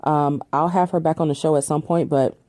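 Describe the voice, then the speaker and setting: Low, mellow-voiced woman podcaster. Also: steady tone, a little fast, no room sound, clean studio sound. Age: 30s. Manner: chatty, laughs often, many ums. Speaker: podcast host. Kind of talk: casual solo Q&A chat.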